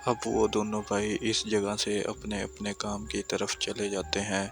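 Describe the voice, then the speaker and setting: A man's voice: fairly low pitch, completely flat delivery, measured pace, creaky. A man reading scripted storybook narration for a cartoon, in a repetitive, formulaic storytelling manner over music.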